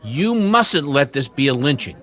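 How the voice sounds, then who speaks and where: A man's voice: mid-range voice, big pitch swings, unhurried, a crowd in the background. A man playing an earnest, principled older townsman in a radio western. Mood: earnest concern. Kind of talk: old-time radio western acting.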